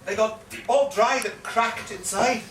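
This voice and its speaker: Echoey live stage recording, male actor as Irish old soldier, garrulous, forceful, theatrical yarn-spinner, faint noise. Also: high-pitched, measured pace, recorded from a distance. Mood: anguished, dramatic. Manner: theatrical, dramatic storytelling with repeated phrases. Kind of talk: live stage performance of a comic one-act play. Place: live performance in a pub.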